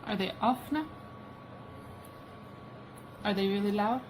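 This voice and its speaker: A woman's voice: mid-range voice, slow, darkish tone, a little hiss or hum. A woman speaking casually, asking short simple questions of someone nearby.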